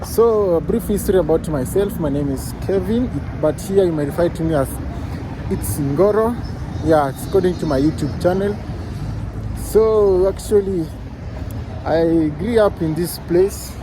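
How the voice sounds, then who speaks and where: A man's voice: high-pitched, measured pace. A young Kenyan man with a Kenyan accent, chatting casually and rambling a bit as he vlogs outdoors in the city. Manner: chatty, rambling, self-correcting. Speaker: vlogger. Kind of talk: casual walking vlog. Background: traffic noise.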